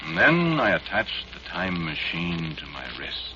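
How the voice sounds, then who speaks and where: A wry, suspicious doctor with a very low voice that rises and falls widely, like an old-time radio narrator. He sounds dull and lo-fi under a loud hiss, just like a 1940s broadcast. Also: very animated. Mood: measured. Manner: dry, sardonic, measured. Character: wry male inventor doctor, drinking, wary. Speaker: narrator and lead character.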